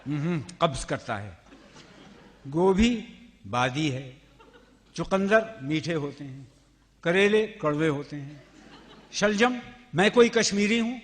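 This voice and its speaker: A man's voice: on the high side, lively intonation, slow pace, pauses often, a bit bright. A man reading a comic story, voicing a fussy, irritable husband who complains about his meals in exasperation. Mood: fussy, complaining. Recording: long hall reverb.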